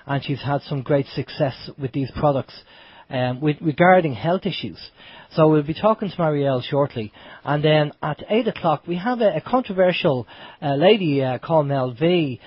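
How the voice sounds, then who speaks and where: A man's voice: on the high side, slightly rough, no room sound. An Irish man with a cold, sounding blocked up and nasal, hosting a casual internet radio chat. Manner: chatty, loose, with restarts. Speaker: radio show host. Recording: dull lo-fi home radio stream.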